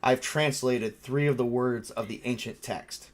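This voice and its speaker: A man's voice: medium pitch, fairly even intonation, measured pace, natural voice. A man voicing a solemn male scholar on a haunted tape recording, in a tabletop horror game.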